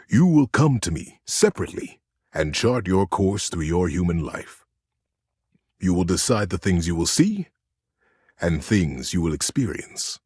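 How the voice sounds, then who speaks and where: A man voicing a solemn, kindly immortal elder in a video game bedtime story, intoning slowly and with measured weight. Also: sits low, a little mellow, husky, no room sound, studio quality, voice pushed down, heavily acted.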